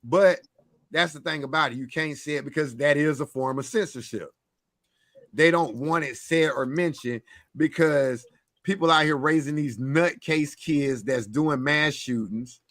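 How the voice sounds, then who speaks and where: A male live stream host with a fairly high voice and a dry, close sound. He banters casually and bluntly, swearing freely and teasing as he goes. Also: unhurried, a bit bright, dry recording. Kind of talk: casual panel banter, reading out viewer comments.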